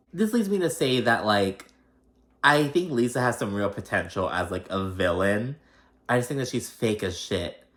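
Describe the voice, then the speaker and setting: Low-voiced gossipy man, casual and sweary. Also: fairly bright tone. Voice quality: slight lisp. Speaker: host of a reality TV recap show.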